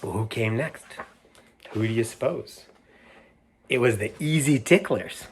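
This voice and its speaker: A man reading a picture book aloud to children, stopping to talk about the pictures and ask his young listeners questions in a playful, unhurried way. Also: medium pitch, slight background noise. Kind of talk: read-aloud storytime with asides about the pictures.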